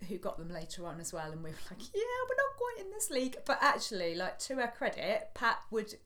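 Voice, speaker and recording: A woman's voice: mid-range voice, wildly swooping pitch, brisk, bright, forceful tone. Chatty Portsmouth woman. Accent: Portsmouth English. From England. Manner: chatty and self-deprecating, with frequent ums. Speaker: interview guest. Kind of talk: casual conversational interview.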